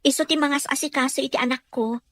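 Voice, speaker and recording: Woman acting anxious young filipina mom in a radio play, high meek voice, no room echo. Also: steady tone, fast talker, a bit bright, clean studio sound. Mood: worried. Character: anxious, humble young mother working at a mansion. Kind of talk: Ilocano audio drama acting.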